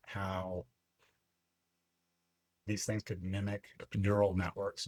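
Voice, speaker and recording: Man in his fifties, very low husky voice, reflective podcast guest, dry close sound. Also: no echo. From Lebanon. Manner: reflective, unhurried storytelling with frequent fillers. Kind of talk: casual interview chat.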